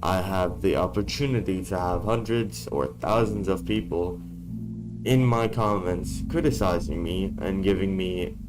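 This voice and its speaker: A man with a very low voice talks straight to camera, blunt and given to casual swearing. His voice sounds worn from being sick, and music plays clearly behind him. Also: a little slow, low-quality recording. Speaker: YouTuber. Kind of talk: casual talking-head vlog. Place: dark room indoors.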